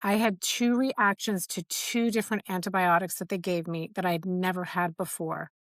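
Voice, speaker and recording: A woman's voice: on the low side, steady tone, normal speed, no room sound, studio quality. A middle-aged woman hosting a podcast, candidly telling a personal story in casual conversation and acting out quoted dialogue. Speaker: podcast host.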